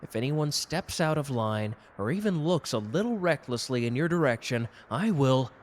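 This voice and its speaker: A man's voice: mid-range voice, lively intonation, moderate pace, slight background noise, lightly acted. A man playing a cocky, gallant and protective customer who flirts teasingly in a romantic roleplay audio drama. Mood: protective, sincere. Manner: suave, teasing, intimate one-sided conversation.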